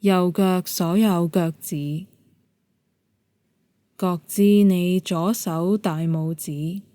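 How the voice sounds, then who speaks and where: A woman with a Hong Kong Cantonese accent leading a guided meditation in a calm, gentle, measured manner. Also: fairly low pitch, no room sound. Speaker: yoga nidra meditation guide.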